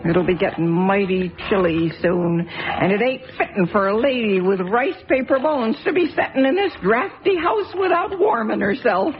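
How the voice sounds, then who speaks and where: A woman's voice: mid-range voice, expressive, moderate pace, close to an everyday voice. An actress playing a stubborn, fussy old lady who scolds in folksy old-fashioned talk, in a radio drama. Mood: indignant. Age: older. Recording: dull lo-fi radio recording with faint music.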